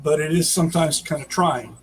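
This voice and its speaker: A man explaining his work as an audio drama producer in a casual, halting way during an interview over a video call. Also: on the high side. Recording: noticeable background noise, video call.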